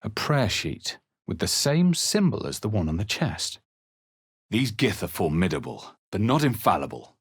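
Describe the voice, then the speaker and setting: A battle-hardened hero of a fantasy video game, brooding and measured. The voice is fairly low and husky, recorded very dry and close. The delivery is dramatic, like a man who has seen many fights. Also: big pitch swings, no room sound. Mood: grave, intent. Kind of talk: dramatic fantasy game voice lines and first-person narration.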